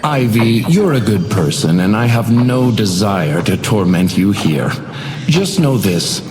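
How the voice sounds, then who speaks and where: A man's voice: lowish voice, sing-song, darkish tone. Sinister, swooping, echoing hotel doorman. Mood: taunting. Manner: theatrical, taunting, measured, silky menace. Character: sinister, genteel hotel doorman tormenting guests. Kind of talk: video game character voice lines, monologue. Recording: echoing voice over music. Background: music.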